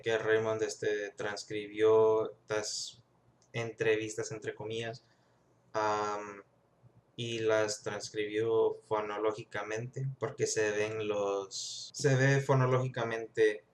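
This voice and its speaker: A man's voice: on the low side, slight background noise. A young man from the United States with a Mexican-influenced Spanish accent, talking through a class reading in a reflective vlog, with many fillers and restarts. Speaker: student.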